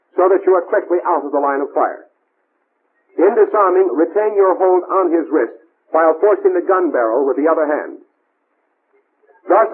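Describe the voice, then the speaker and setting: A man's voice: a little high, slightly rough. A man with an American accent narrating a 1940s military training film in a clipped, formal, authoritative manner, on an old tinny film soundtrack. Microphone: old film voice-over recording.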